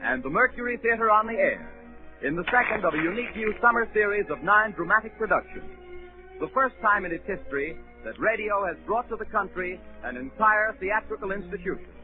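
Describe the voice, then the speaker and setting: A man's voice: high-pitched, lively intonation, a little fast. A man reads a formal, declamatory scripted introduction as a 1930s radio network announcer. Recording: tinny 1930s radio recording with music. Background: music.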